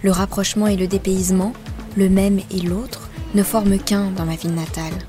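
A woman giving a measured, expressive first-person reading of a literary excerpt. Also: medium pitch. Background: soft music.

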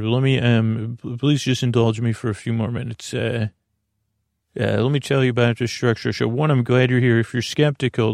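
A man's voice: creaky, a little mellow, dry recording, clean studio sound. A man hosting a bedtime podcast, rambling in a meandering, easygoing way with lots of hesitations and self-corrections. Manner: low-key, easygoing. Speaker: podcast host.

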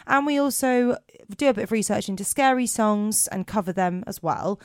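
A woman with a hoarse voice co-hosting a podcast, chatting casually with many fillers. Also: mid-range voice, normal speed, no echo, studio quality. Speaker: podcast co-host. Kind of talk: casual chat.

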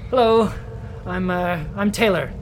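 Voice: mid-range voice, unhurried, a little hiss or hum, close to an everyday voice. An actor in a naturalistic audio drama playing a mildly exasperated man with a dry manner. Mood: businesslike, awkward. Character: pale, mildly exasperated young man, ghost-helper.

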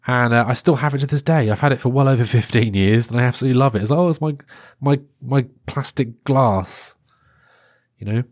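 A man's voice: medium pitch, fairly quick, darkish tone, no room sound, studio quality. A man in his thirties hosting a solo podcast, chatty and rambling, with a stuffy cold. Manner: rambling and chatty, many restarts and fillers. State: stuffy-nosed, congested. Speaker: podcast host.

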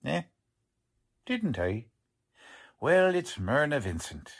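An actor playing a plodding police sergeant who talks in folksy, ungrammatical street slang, in the style of a 1940s radio drama. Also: male voice, medium pitch, big pitch swings, slow, exaggerated voice. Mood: matter-of-fact. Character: blunt, plodding middle-aged male police sergeant.

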